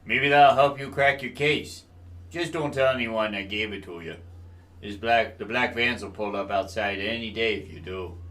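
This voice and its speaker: An actor playing a guarded, conspiratorial husband with military ties in an audio drama, speaking in a hesitant, confiding way. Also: male voice, on the low side, moderate pace, fairly bright tone, natural voice.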